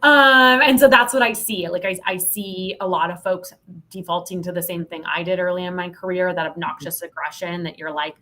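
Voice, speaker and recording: Podcast guest: woman, slightly low-pitched, candid and emphatic, conversational delivery with plenty of expression. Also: brisk, sharp tone. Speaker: podcast guest, sales leadership expert. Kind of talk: conversational interview answer.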